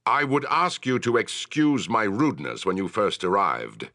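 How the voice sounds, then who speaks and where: An actor playing a gruff, weary town leader and military commander in a fantasy video game, speaking in a stern, formal, authoritative way. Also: male voice, on the low side, animated, normal speed, pushed and bright, dry recording, studio quality. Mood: stern, impatient.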